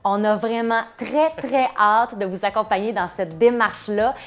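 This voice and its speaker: A woman's voice: medium pitch, normal speed. Quebec French woman in her thirties. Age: 30s. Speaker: communication coach and course presenter. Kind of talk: personal storytelling and course promotion to viewers.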